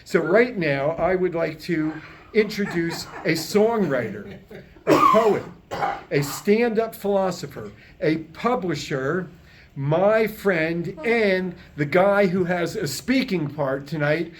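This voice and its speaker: A man's voice: high voice, lively intonation, takes it slowly, a crowd in the background. A man acting as emcee, giving an informal introduction on stage at a live staged reading. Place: live staged reading before a small audience. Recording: noisy live room recording with clicks.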